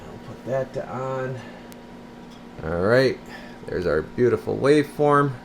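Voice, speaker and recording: A man's voice: mid-range voice, lively intonation, takes it slowly, slight background noise. A man giving a casual, rambling hands-on demonstration as a radio repair technician, explaining things informally as he works at his bench. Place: indoor radio repair workbench.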